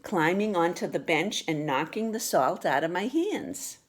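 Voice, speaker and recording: A woman reading a children's picture book aloud with expressive, deliberate storytelling delivery. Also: lowish voice. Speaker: storybook reader.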